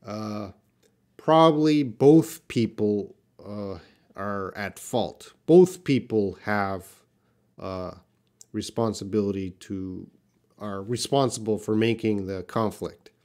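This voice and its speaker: A man hosting a live English lesson, answering learner questions in a deliberate, emphatic way and repeating key points. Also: on the low side, darkish tone. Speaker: English teacher, live Q&A host.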